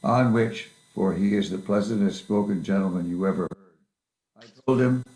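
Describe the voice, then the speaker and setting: A man's voice: lowish voice, normal speed, a little mellow, roomy sound, close to an everyday voice. A man reading the part of a mild, grieving poor clerk and father in a Victorian story, earnest and affectionate. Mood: earnest, grateful. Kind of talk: dramatic reading of a classic story.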